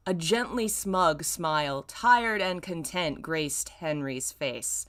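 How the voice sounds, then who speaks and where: A woman in her thirties with a low-pitched voice reads fiction aloud on a stream. She leans theatrically on the descriptive words, then drops into wry, self-mocking commentary. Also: takes it slowly, fairly bright tone. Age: 30s. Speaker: streamer reading own old fan fiction. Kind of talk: live story reading with wry asides.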